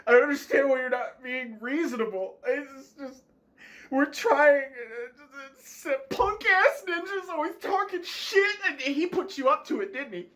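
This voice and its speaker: A man acts out a spurned streaming platform as a petty, needy character. He is sarcastic and whiny, easily outraged, with very animated pitch. It plays as improvised online sketch comedy. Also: fairly quick. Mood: hurt, outraged.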